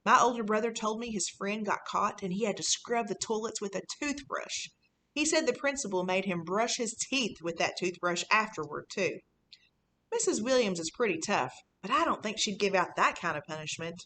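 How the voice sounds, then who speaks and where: A woman's voice: medium pitch, lively intonation, moderate pace, a bit bright, slight background noise, lightly acted. A woman reading a children's book in the voice of a sneaky, boastful fifth-grade boy, telling his story casually and conspiratorially. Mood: cocky, mischievous. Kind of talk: first-person children's audiobook reading.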